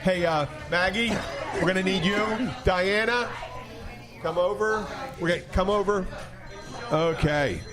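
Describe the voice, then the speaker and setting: A man's voice: high-pitched, unhurried, over music. A man hosting a podcast in a casual, joking chat style. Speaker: podcast host. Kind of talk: casual comic bar chat.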